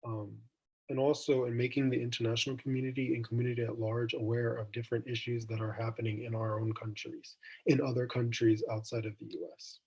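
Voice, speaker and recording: A young man hosts a student discussion over a video call, his voice a little husky at an ordinary pitch. He talks thoughtfully and meanders, pausing on ums and restarting his sentences. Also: little pitch movement, a little mellow, low-quality recording. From Jamaica. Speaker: student event host. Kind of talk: casual online discussion.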